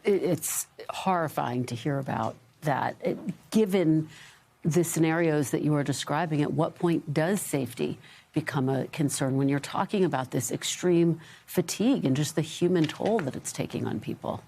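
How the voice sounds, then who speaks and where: An American woman anchoring a news interview, asking measured, formal questions. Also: low voice, gravelly, dark tone, breathy edge.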